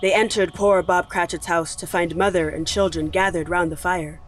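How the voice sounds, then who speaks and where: A man narrating a dramatic story in a measured, solemn manner. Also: sits high, fairly even intonation, a bit bright, soft background music. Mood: grave.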